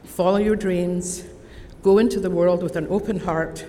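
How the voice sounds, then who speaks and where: A woman in her sixties with an Edinburgh Scottish accent, giving a formal, measured convocation speech from a podium. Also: on the low side, fairly even intonation. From Scotland. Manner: measured, deliberate, warmly earnest. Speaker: honorary degree recipient giving convocation address. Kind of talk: formal prepared ceremonial speech. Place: large convocation hall. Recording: long hall reverb, faint background noise.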